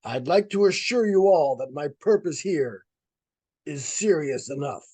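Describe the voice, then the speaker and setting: An actor playing an earnest psychic investigator in old-time radio suspense style, speaking gravely and formally with theatrical weight. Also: male voice, on the high side, animated, a little slow, dark tone, no echo. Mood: solemn, foreboding. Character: earnest, portentous male psychic researcher and ghost hunter.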